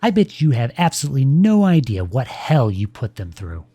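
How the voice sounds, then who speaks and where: A man playing a protective boyfriend in a scripted audio roleplay, with measured, formal, pointed phrasing, polite but cutting. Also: mid-range voice, big pitch swings, mellow, husky, no room sound, highs cut off. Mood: indignant, scornful.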